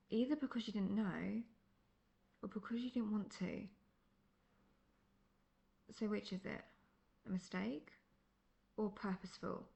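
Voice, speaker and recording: Casting: actress as veteran female monster hunter for fantasy roleplay audio; wry, assertive, measured, with a creaky edge. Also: mid-range voice, darkish tone. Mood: stern. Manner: measured, formal, dryly condescending.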